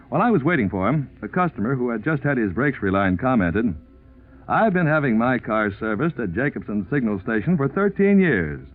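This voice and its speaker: Male vintage radio announcer, American accent, animated intonation, polished emphatic sales delivery, tinny band-limited recording. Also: mid-range voice. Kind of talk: 1940s radio sponsor announcement, scripted. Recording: tinny 1940s radio recording, faint music.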